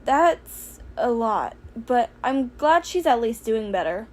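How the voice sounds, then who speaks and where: A woman's voice: fairly high pitch, big pitch swings, slow pace, darkish tone, heavily acted. A woman voicing a modest, earnest teenage boy inventor in an audiobook, with a casual, mild manner. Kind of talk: audiobook character voice for fan fiction.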